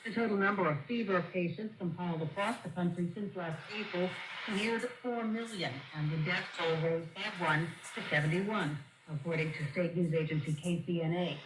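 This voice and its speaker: A woman delivers a scripted news report as a radio correspondent, formal and measured. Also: on the low side. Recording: noisy shortwave radio broadcast, echoey. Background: shortwave static and noise.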